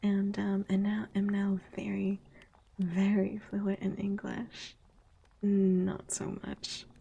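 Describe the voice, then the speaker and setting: Slow husky whispering woman. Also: mid-range voice, completely flat delivery, highs cut off. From United States. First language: Spanish. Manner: soft whispering, reading chat aloud, giggly. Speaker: VTuber streamer. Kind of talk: whispered ASMR stream chat.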